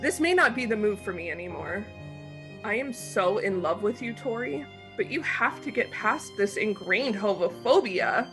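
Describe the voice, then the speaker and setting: A woman acting a steady, loving but insistent young sorority member in a dramatic reading, firm and intimate in manner. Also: medium pitch, normal speed, over music, close to an everyday voice. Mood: pained, resolute. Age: young adult.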